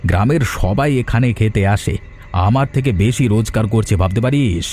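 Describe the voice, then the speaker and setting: A man's voice: mid-range voice, fairly quick, a little mellow, natural voice. A man playing a jealous, spiteful village schemer in a moral-story cartoon, speaking with resentment. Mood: jealous, bitter.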